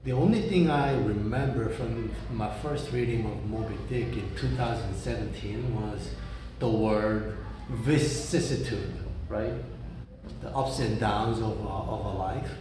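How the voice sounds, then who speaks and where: A man's voice: mid-range voice, noisy background. A young man whose first language is Korean, rambling casually with many restarts and fillers. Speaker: reader talking about books. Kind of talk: casual monologue. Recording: very echoey room, voice from far off.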